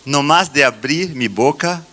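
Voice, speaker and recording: A middle-aged man speaks to a church conference with a Brazilian Portuguese accent. His voice is fairly high and forceful. He moves very slowly, in halting phrases with deliberate pauses. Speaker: conference speaker, children's ministry teacher. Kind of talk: plenary address at a church conference.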